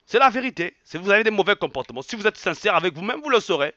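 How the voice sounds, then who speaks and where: A man rants angrily to his online audience, hammering his points through repetition. His voice is bright and sharp and sits fairly high. The recording is dry and muffled, with a lo-fi sound. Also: sing-song, very fast, dry recording. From Republic of the Congo. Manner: angry, emphatic, repeats phrases, drops Lingala words. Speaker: online commentator. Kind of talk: heated evening rant to camera.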